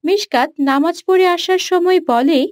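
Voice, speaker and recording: A woman's voice: very high voice, a little slow, no echo, clean studio sound. A woman reading scripted narration for a children's cartoon story.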